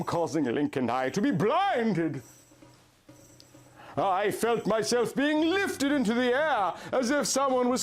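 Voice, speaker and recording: A man reading a fan story aloud in a mock-dramatic storybook style. His voice sits slightly high for a man and rises and falls a great deal. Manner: mock-dramatic, lively delivery.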